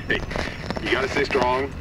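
An actor playing a skeptical, glib radio talk host in a video game, folksy and placating. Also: male voice, medium pitch, monotone, normal speed, very noisy, natural voice.

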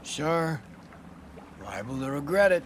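A man's voice: on the high side, very animated, slow pace, a little hiss or hum. A man playing a brash, restless rock star in a video game, swearing freely in loose street slang. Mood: easygoing.

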